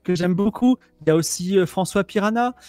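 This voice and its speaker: A man's voice: high voice, brisk, darkish tone, no echo, lightly acted. A sweet seventy-year-old grandmother fusses over her guests in a thin, raised, quavering voice, as a man puts her on. The sound is dry and close, with faint music underneath. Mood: fussing hostess.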